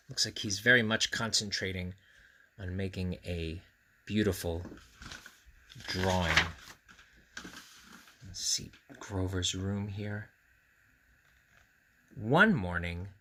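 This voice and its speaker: A man with a bright voice reads a storybook to children at an unhurried pace. He gently rambles into asides and puts questions to his listeners. The room is echoey. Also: lowish voice, recorded from a distance. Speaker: children's story-time reader and host. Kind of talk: read-aloud storytelling with chatty asides to children. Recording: roomy echo, clear background noise.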